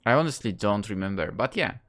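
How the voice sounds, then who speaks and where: A man's voice: on the low side, expressive, a little fast, fairly bright tone. A man giving casual let's play commentary, rambling and thinking aloud. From Poland. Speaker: gaming YouTuber.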